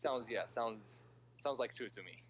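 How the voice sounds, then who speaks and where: A man's voice: mid-range voice, measured pace. A man in his early twenties from Russia who speaks with an American accent, answering interview questions casually and hesitantly, thinking as he goes. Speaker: interviewee, political science master's student. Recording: dull lo-fi sound with faint hum.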